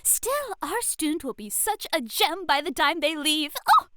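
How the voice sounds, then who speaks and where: A woman's voice: high voice, very animated, a little slow, sharp tone, no room sound, studio quality, exaggerated voice. A woman voicing an exuberant, refined etiquette teacher in an ASMR roleplay, with theatrical, gushing delivery and elegant, formal diction. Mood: excited, gushing.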